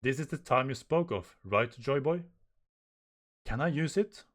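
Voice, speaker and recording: A man's voice: mid-range voice, animated, a little slow, pitched above the performer's own voice. A man voicing an ancient rusted giant robot from a manga, solemn and weary as it wonders aloud. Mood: solemn, wondering.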